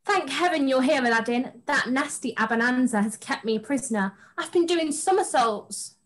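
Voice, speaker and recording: Sweet melodramatic panto princess, young woman acting her, high voice, amateur read-through. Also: normal speed, fairly bright tone, slight background noise. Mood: anxious. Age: young adult. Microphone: video call.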